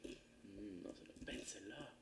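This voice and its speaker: A man's voice: on the low side, moderate pace, very noisy. A man commentating his own play of a geography game live, thinking aloud in a casual, muttering way with some swearing.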